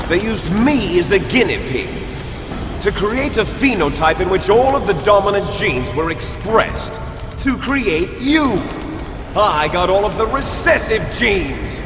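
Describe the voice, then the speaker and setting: A man playing a bitter, grandiose villain soldier in a video game, with a menacing, declamatory, taunting delivery full of dramatic pauses. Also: high voice, exaggerated voice. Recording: echoey hall reverb under loud music.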